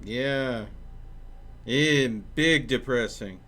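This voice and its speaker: A man's voice: mid-range voice, very slow, fairly bright tone. A man giving commentary on a video game as a streamer. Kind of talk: gameplay commentary.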